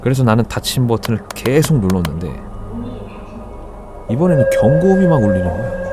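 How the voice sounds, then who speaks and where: A man narrating a first-person horror story in a casual, conversational storytelling way, with deliberate phrasing. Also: on the low side. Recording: background music under the voice. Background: music.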